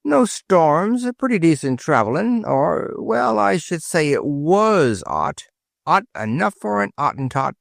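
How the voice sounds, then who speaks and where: A man's voice: on the high side, sing-song, creaky, no room sound, clean studio sound, pitched above the performer's own voice, full character voice. A man voicing a cheeky Cockney sparrow. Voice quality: chirpy, saucy, drawn-out.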